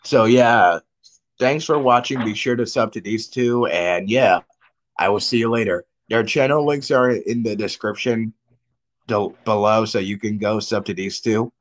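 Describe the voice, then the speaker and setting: A man's voice: lowish voice, unhurried. A man hosting a stream with casual, chatty talk. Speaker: channel host and streamer.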